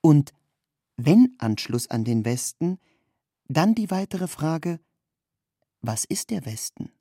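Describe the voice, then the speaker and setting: Husky, creaky narrator of literary prose. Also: mid-range voice, big pitch swings, slow pace, mellow, no echo, clean studio sound.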